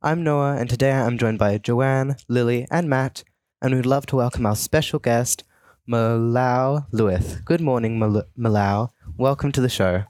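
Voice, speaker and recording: Young man, radio host, casual, hedging, medium pitch, dry booth. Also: a little slow, a little mellow, no echo. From Australia. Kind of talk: community radio interview. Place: radio studio.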